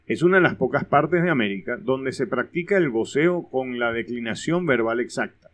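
A man with a Venezuelan accent giving scripted educational narration in a measured, explanatory way. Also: mid-range voice, animated, a bit bright.

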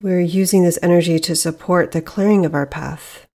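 Female meditation guide, lowish voice with a dark, relaxed tone, measured and soothing delivery, plenty of pauses. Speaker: meditation guide, podcast host.

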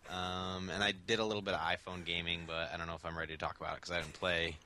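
A young man on a remote call, hoarse from a cold, chatting loosely as a podcast co-host. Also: low-pitched, flat delivery, fast talker, background noise. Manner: rambling, laid-back. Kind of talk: casual chat. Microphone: remote call over the internet.

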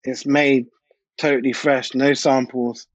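Male DJ-producer, informal anecdotal interview chat, mid-pitched, close dry but slightly dull sound. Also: level tone, unhurried, no echo. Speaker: DJ and music producer being interviewed.

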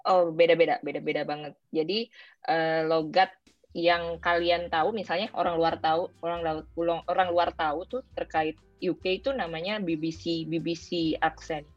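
Low-pitched young Jakarta woman, lo-fi. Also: flat delivery, normal speed, no echo. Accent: Indonesian, Jakarta colloquial. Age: young adult. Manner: informal, mixes in English phrases. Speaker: guest, former overseas student. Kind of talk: casual interview chat. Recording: dull lo-fi audio with music. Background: music.

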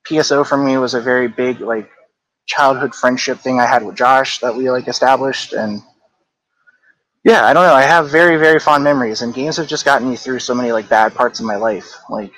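A man's voice: medium pitch, brisk, fairly bright tone, rough recording. Reflective man in his thirties, guesting. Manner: reflective, hedging with "like" and "I don't know". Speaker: guest, game company co-founder. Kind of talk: casual interview chat.

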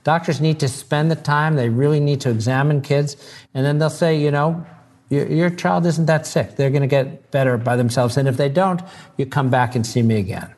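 A man's voice: fairly high pitch, moderate pace, darkish tone. A man who is a doctor, explaining earnestly in conversation and often repeating words as he begins sentences. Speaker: doctor, guest.

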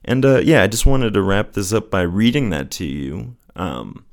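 A man speaks in a deep, soothing voice. His delivery is earnest and gently encouraging, in the reflective style of someone hosting a personal show about faith. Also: big pitch swings, normal speed. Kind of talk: casual reflective solo talk with scripture reading.

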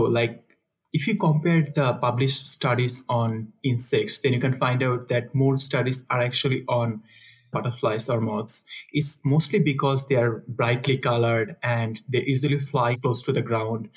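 Young man from Bangladesh, husky voice, soft-spoken, chuckling, casual interview guest. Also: medium pitch, a little slow. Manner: soft-spoken, laughs often, frequent fillers. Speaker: guest, conservation researcher.

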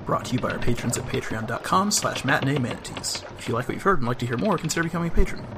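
A man racing through a scripted podcast intro at a very quick pace, with a dry, wry touch. Noise sits clearly behind him. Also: mid-range voice, expressive. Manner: brisk, wry. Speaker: podcast announcer. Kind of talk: read intro disclaimer. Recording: noticeable background noise.

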